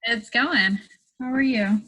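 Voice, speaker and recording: A woman's voice: a little high, slow pace, sharp tone, poor audio. Woman casually asking a question. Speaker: audience member asking a question. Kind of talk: casual, hesitant question on a video call. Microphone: video call.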